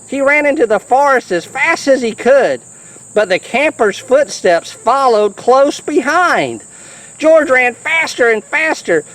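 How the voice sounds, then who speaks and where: Man, children's story reader, slow pace, lively swooping intonation, playful and folksy. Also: extremely high pitch, rough recording. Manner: animated, folksy.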